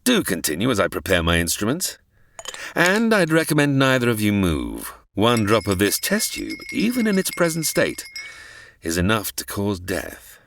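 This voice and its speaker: Wildly theatrical, gloating mad-scientist villain. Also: male voice, on the low side, wildly swooping pitch, normal speed, gravelly, fairly bright tone, slight background noise, heavily acted. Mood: smug, condescending. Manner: theatrical, condescending, formal. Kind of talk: old-time radio melodrama parody acting.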